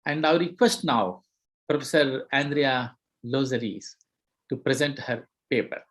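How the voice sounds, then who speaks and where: A man's voice: mid-range voice, a little slow, a bit bright. A man moderating an online conference, introducing a speaker hesitantly with many fillers and repeated words.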